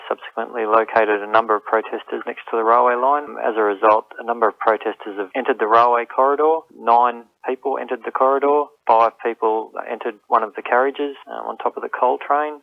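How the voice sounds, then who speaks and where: Gravelly Australian policeman on a phone line. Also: on the low side. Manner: official, procedural wording. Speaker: police spokesman. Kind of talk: formal incident statement. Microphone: telephone. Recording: narrow tinny phone line.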